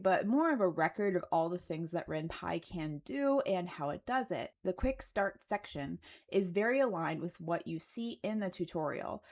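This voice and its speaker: A woman narrating a casual explanatory video as its creator, chatty and conversational, with a wry, self-deprecating way of talking. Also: on the low side. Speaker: video creator narrating her game development progress.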